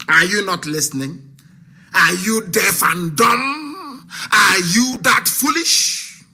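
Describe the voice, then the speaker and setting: Nigerian man, Igbo first language, very bright voice a touch high, fired-up commentator hammering rhetorical questions. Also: expressive, extremely slow, vocal fry, long pauses, a little hiss or hum. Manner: exclaiming, repeating rhetorical questions, switching into Igbo. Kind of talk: impassioned political commentary.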